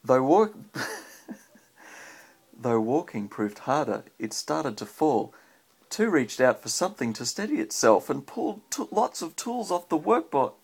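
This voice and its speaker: A man's voice: mid-range voice, slightly rough. A man reading a children's story aloud to a child as a father, chatting in between with encouraging questions, recorded on a handheld phone. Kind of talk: read-aloud storytelling with casual chat to a child. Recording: faint background noise, home phone recording.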